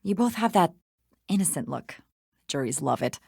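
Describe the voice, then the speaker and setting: A woman's voice: low-pitched, big pitch swings, moderate pace, creaky, darkish tone, breathy edge, no echo, studio quality, heavily acted. Wry, self-assured woman lawyer. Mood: teasing, confident. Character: confident, teasing female small-town lawyer, ambitious working woman. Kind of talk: scripted video game dialogue.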